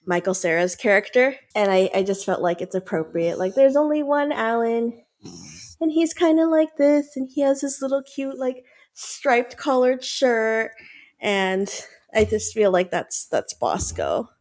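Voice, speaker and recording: A woman's voice: a little high, animated, unhurried, a little mellow. Chatty woman with lots of "like"s. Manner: rambling, filler-heavy, affectionate. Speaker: podcast guest, dog owner. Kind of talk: casual chat.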